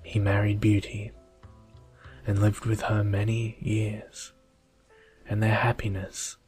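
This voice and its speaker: A man reading a bedtime story in a soft, hushed, gentle and measured ASMR style. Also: lowish voice, monotone, faint music behind.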